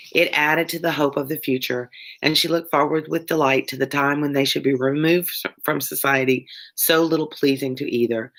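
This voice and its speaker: A woman's voice: low-pitched, a bit bright. Wheezy Southern woman reading aloud. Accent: Southern US, Louisiana. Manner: chatty, stops often to explain words and answer chat. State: congested, wheezy chest cough. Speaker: online tutor reading aloud. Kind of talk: casual live-stream read-along with chat.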